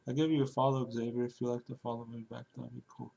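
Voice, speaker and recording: Guy hosting his stream, rambly and offhand, pretty monotone. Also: medium pitch, fast talker, creaky, highs cut off. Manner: rambling, offhand, flat delivery. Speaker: livestream host. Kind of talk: casual livestream chat.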